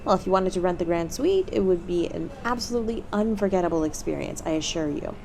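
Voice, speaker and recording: A woman playing a cheery, courteous demon receptionist who pitches a resort to a guest in a scripted audio roleplay. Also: quick pace, gravelly, a little mellow, slight background noise, rough recording. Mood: polite, persuasive. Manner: bubbly, courteous customer-service patter. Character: cheery demon hotel receptionist, eager and polite, salesy.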